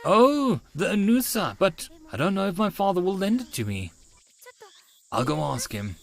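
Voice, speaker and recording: A man voicing a timid, gentle, girlish young shrine helper in an anime visual novel, speaking in a hesitant, polite, flustered manner. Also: high-pitched, sing-song, moderate pace, gravelly, voice pushed up, full character voice. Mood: polite, unsure.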